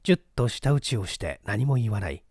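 Man narrating fiction with lively swooping pitch. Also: brisk, breathy edge, no echo, clean studio sound. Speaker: audiobook narrator, stage performer. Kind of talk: dramatic scripted literary narration.

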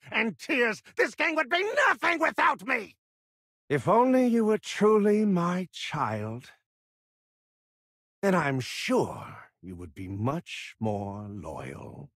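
An actor playing a proud, bitter aging gang boss in a video game, theatrical and volatile, with a gravelly, husky voice. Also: male voice, a little high, a bit bright, no room sound, studio quality, exaggerated voice. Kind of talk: video game character acting.

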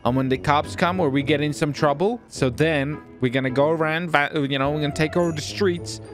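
Guy streaming game roleplay, kinda high voice, cocky n jokey, music behind. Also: brisk. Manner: excitable, cocky, jokey, swearing. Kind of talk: casual in-character video game roleplay chat.

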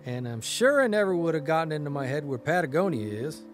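Lively, higher-pitched American columnist. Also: male voice, fast talker, over music. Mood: dry, narrating. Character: wry, teasing, middle-aged travelling newspaper columnist husband. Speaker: actor playing a newspaper columnist. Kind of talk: audio drama dialogue and storytelling.

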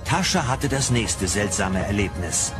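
A man's voice: on the low side, very animated, unhurried. A man narrating a science fiction radio drama in a scripted storytelling style. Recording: music under the voice. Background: loud dramatic music.

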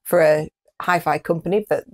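Lower-pitched middle-aged British woman chatting. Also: fairly even intonation, normal speed. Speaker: co-host of a hi-fi news show. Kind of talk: casual chat. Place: small wood-panelled room, indoors.

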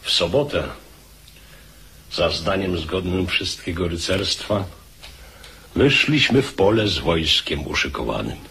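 Old military leader, deep, slow, grave, measured, archaic radio-play speech. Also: male voice, animated, bright, forceful tone, slightly rough, heavily acted. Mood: solemn. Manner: solemn, measured, declamatory. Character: grave old Polish army commander, proud and weary. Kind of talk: radio drama acting, archaic formal speech.

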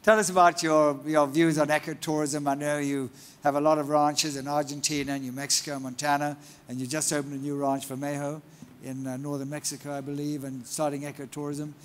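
A man hosts an onstage interview at a large conference and steers the talk with prompting questions. He laughs easily along with his guest. His voice sits a little higher than most men's and is picked up close by a lapel mic. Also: level tone, a little fast, slight background noise.

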